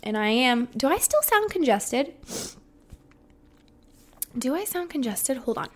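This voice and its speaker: A young woman chats through her solo podcast in an upbeat, rambling way, her voice sitting a little high. She restarts sentences often and keeps a casual, personal tone. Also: sing-song, measured pace. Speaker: podcast host.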